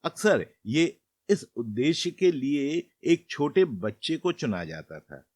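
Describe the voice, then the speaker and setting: A man narrating a scripted fairy tale as a storyteller, in a measured and expressive manner. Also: medium pitch, husky, no room sound.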